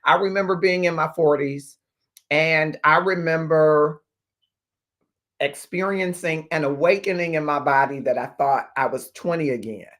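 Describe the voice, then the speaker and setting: Guy in his 50s, kinda high voice, life coach talking to livestream viewers, slow emphatic pauses. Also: a bit bright. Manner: deliberate, emphatic phrasing with pauses. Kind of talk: motivational livestream talk to viewers.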